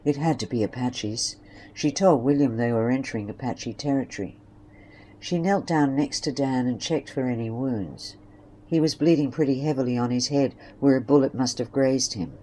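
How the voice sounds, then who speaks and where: A woman's voice: a bass voice, moderate pace, warm tone, slight background noise, poor audio. A woman reading scripted narration for an audio drama story.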